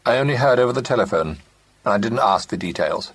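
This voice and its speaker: A man voicing a harassed young heir who speaks in a quick, worried way. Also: medium pitch, expressive, husky, dry recording, voice pushed up.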